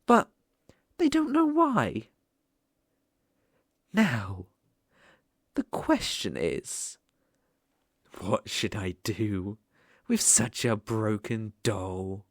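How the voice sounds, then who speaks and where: Slow, swooping British demon ruler, acted out for ASMR. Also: mid-range voice, no room sound, clean studio sound, heavily acted. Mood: bored, mocking, then scheming, smug. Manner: slow, mocking, haughty, theatrical. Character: sadistic, possessive demon ruler toying with a human. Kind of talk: ASMR roleplay, scripted monologue.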